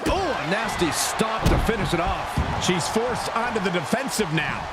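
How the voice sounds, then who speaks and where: A man playing an excited TV wrestling commentator, calling the action live in a video game. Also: sits high, moderate pace, heavy background noise. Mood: excited, urgent. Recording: loud music and arena thuds behind.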